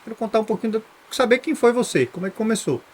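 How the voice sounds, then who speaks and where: A man's voice: high-pitched, lively intonation, very fast, slight background noise. A man co-hosting a podcast, eagerly asking questions and introducing the show. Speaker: podcast co-host. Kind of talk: podcast interview.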